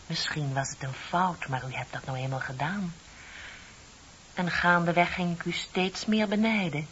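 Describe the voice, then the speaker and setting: An actress playing a bitter, composed office clerk in her thirties in a radio play, speaking in a deliberate, pointed, theatrical way. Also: fairly low pitch, fairly bright tone, close to an everyday voice. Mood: wistful, bitter. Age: middle-aged. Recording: dull, hissy old radio play recording.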